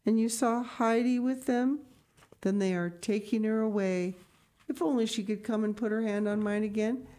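A woman voicing a poor, frail old grandmother who speaks gently and with a sigh. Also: on the low side, very animated, moderate pace. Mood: sorrowful. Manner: gentle, sighing and tremulous.

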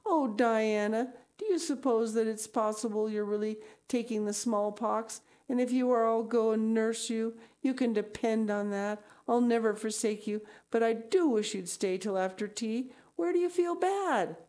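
A woman's voice: a little high, moderate pace, hardly any pauses, mellow, pitched above the performer's own voice, close to an everyday voice. A woman voicing a talkative, earnest young girl in a storybook, gushing and melodramatic, easily distressed. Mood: anxious, pleading. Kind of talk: storybook character voice.

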